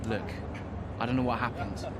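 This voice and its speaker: A man's voice: medium pitch, steady tone, normal speed, heavy background noise, lightly acted. A young man playing an earnest, defensive rookie racing driver in a game cutscene. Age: young adult.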